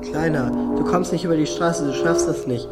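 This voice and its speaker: A man's voice: fairly high pitch, normal speed, natural voice. A man playing a boy's bossy, blunt companion in an amateur radio play, pressing and urgent. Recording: loud music under the voice.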